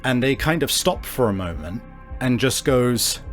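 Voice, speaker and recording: Male game master, mid-pitched, unhurried and halting improvised narration over faint music. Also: lively intonation. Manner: halting, searching for words.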